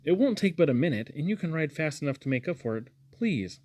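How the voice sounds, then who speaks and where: A man's voice: a little high, big pitch swings, fast talker, darkish tone, pitched above the performer's own voice. A man voicing an eager, sweet, chatty young woman in a storybook, with gushing exclamations. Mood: coaxing.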